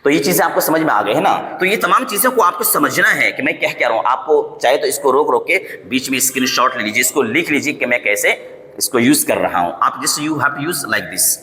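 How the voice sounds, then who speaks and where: An Indian-accented male teacher with a bright, forceful voice gives a language lesson in a very echoey room. He explains step by step, slows down to repeat example sentences, and keeps tossing in short check questions. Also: mid-range voice, animated. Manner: repeats example phrases slowly, often checks understanding with short tag questions. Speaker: spoken English teacher. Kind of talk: instructional lesson to camera, switching between explanation and example sentences. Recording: echoey room with long reverb.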